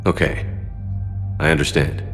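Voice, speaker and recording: An actor playing a steadfast, protective military commander in a video game, with a terse, grave and restrained delivery. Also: male voice, unhurried, fairly bright tone, in a large hall, soft background music, lightly acted. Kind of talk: dramatic video game acting.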